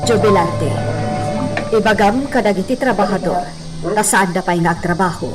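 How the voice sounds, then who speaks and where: A woman's voice: medium pitch, moderate pace, over loud music, lightly acted. A woman playing a dignified, motherly middle-aged estate mistress in a radio drama. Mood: solemn. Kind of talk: Ilocano radio drama acting.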